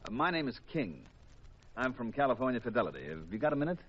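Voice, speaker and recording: A man's voice: mid-range voice, very animated, fast, a little hiss or hum, lightly acted. An actor playing a cynical, long-winded insurance man in a 1950s radio drama, with an exasperated lecturing manner. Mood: businesslike. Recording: muffled vintage 1950s radio recording.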